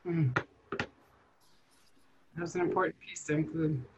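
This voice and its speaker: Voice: mid-range voice, a little slow. A moderator speaking briefly and casually to panel speakers. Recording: clear background noise, clicks.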